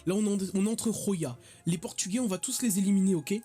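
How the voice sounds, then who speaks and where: A man with a high voice chatting casually as he plays a werewolf game over voice chat. He gets excitable and teasing, and his banter easily tips into shouting. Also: fast, slightly rough, faint music behind. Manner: excitable, rowdy, teasing, shouts when worked up. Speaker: player setting up an online werewolf game. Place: voice chat from home.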